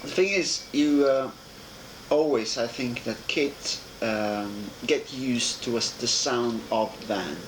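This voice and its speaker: A man interviewing a musician casually, halting with restarts and trailing sentences. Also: mid-range voice. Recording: echoey room, noisy old tape.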